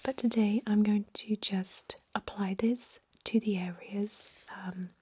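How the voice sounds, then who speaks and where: A woman playing a calm, reassuring ancient vampire medic in a whispered ASMR roleplay, speaking gently in a breathy whisper. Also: mid-range voice, no room sound. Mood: calm, explaining. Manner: gentle, unhurried, hesitant with soft explanations. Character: calm, reassuring thousand-year-old female vampire medic.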